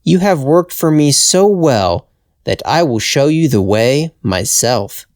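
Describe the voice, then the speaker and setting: Male reader as gentle old fairytale woman, unhurried, kindly, lilting pitch, dry sound. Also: sing-song, no room sound. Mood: pleased, kind.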